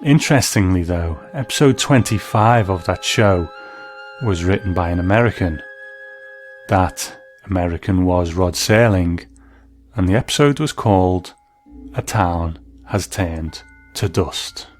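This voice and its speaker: Male host, low register, scripted documentary narration, faint music bed. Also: unhurried. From Britain. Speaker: podcast host.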